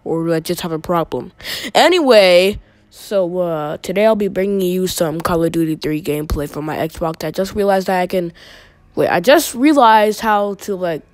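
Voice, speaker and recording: A man's voice: sits high, no echo. A young male gaming YouTuber giving a rambling, joking intro to his video, talking casually with lots of filler words. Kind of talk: casual self-filmed intro.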